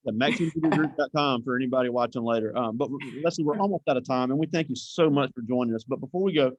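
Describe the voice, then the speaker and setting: A man's voice: mid-range voice, quick pace, no echo. A man hosting an interview at a school, talking in a rambling way with many restarts and thanks. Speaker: host.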